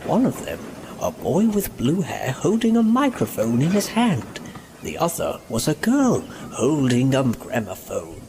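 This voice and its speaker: A man's voice: mid-range voice, huge pitch swings, unhurried, noisy background. A man narrating a scripted children's-style story as a storyteller. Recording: loud music behind the voice.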